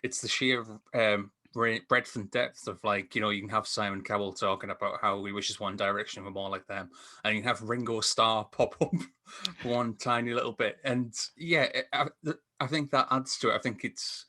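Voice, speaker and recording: A man on a podcast video call casually discussing films in a halting, enthusiastic way. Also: fairly low pitch, fairly even intonation, pushed and bright. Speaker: podcast reviewer.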